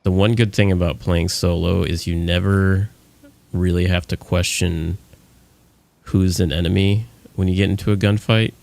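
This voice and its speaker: Male streamer, deep low voice with gravel, casual rambling gaming chat. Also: fairly even intonation, moderate pace, a little mellow, no room sound. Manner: rambling, lots of fillers and restarts.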